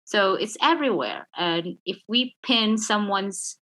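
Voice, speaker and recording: A woman's voice: mid-range voice, expressive, measured pace, a bit bright. A young woman from the Philippines with a Filipino accent, a guest author giving blunt opinions in casual chat and laughing at her own jokes. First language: Filipino language.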